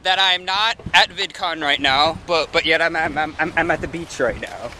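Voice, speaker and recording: A man's voice: fairly high pitch, normal speed. Young male vlogger, casual rambling delivery, arm's-length camera on a windy beach. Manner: rambling, restarts, playful. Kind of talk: casual vlog chat. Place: sunny beach and boardwalk outdoors. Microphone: handheld camera at arm's length. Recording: clear wind noise on outdoor camera.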